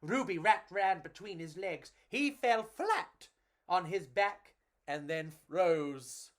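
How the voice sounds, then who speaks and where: A woman's voice: fairly low pitch, expressive, takes it slowly. A woman reading and chatting to children as a storyteller, in a theatrical, gushing and affectionate way.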